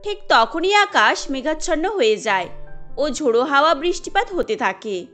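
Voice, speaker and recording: A woman's voice: high voice, sing-song, moderate pace, a bit bright, faint music behind. A woman narrating a scripted cartoon story as a storyteller.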